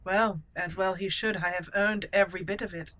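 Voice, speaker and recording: A woman's voice: lowish voice, fairly even intonation, fairly quick, a bit bright, lightly acted, low-quality recording. A woman role-playing a haughty young elf noblewoman in a formal, imperious manner for a fantasy game.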